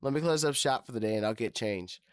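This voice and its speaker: A man plays an easygoing, wiry motorcycle mechanic in an audio drama. He talks politely in a mid-pitched voice, recorded close and dry. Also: fast, smooth, no echo, natural voice. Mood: friendly. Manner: mellow, placating. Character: wiry, laid-back, polite male bike mechanic.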